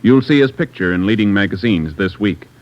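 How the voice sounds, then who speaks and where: A man announcing in a formal, measured style, his voice a bit low. The sound is dull and muffled like an old radio broadcast. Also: animated.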